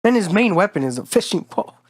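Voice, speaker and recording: Hoarse laid-back man, dry sound. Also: sits high, very animated, quick pace, no echo. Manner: laid-back, rambling slangy talk. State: hoarse from being sick. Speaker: podcast co-host. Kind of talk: casual chat.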